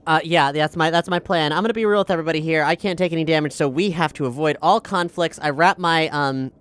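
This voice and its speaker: A man player, halting and thinking aloud. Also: a little high, fairly bright tone, smooth. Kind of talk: casual tabletop play.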